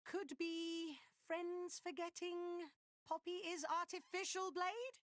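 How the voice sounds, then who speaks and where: A small furry male inventor creature from a video game, chirping in an extremely high voice. He is eager and proud, speaks in broken third-person phrases, and sounds close and dry. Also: fairly even intonation, takes it slowly, a bit bright, no room sound, full character voice. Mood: eager, explaining. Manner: broken third-person speech, tags questions with "yes?". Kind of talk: video game cutscene acting.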